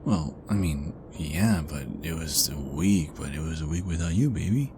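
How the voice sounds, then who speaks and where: A man playing an ardent, nervous werewolf boyfriend in an ASMR roleplay, halting and repeating himself as he pleads. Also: extremely low pitch, lively intonation, over music. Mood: tender, earnest.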